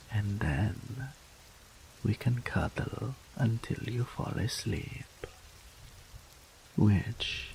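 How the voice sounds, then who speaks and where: A man playing a doting incubus demon, gravelly. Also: on the low side, slight background noise, exaggerated voice, low-quality recording. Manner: soft, slow, whispery and pampering. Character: doting, possessive male incubus demon lover. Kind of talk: ASMR roleplay audio.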